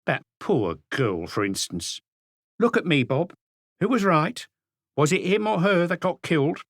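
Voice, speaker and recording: A man's voice: a little high, big pitch swings, unhurried, dry recording, studio quality, pitched above the performer's own voice, heavily acted. A man voicing a plump, gossipy middle-aged woman who runs a café, in an audiobook reading. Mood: indignant. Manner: chattering, scornful, indignant.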